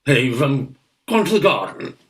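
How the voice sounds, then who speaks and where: An actor playing a pompous, irritable older guardian uncle in a Victorian farce, stiff and indignant. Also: male voice, mid-range voice, very animated, unhurried, fairly bright tone. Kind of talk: Victorian farce radio acting.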